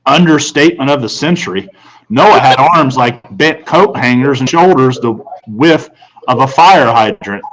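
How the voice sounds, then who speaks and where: A man's voice: mid-range voice, lively intonation, normal speed, a bit bright, low-quality recording. A man reading scripted children's fiction aloud as an audiobook narrator.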